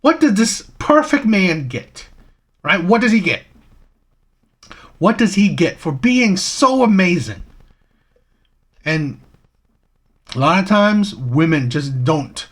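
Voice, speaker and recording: A man's voice: sits high, big pitch swings, takes it slowly, long pauses, a bit bright. Emphatic man giving opinionated commentary. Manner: emphatic, rhetorical questions, frequent "right?". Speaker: commentary channel host.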